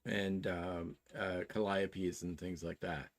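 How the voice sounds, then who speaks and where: A man in his sixties with a Canadian accent, chatting casually as a show co-host and explaining plans in a halting, methodical way. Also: sits low, little pitch movement. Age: 60s.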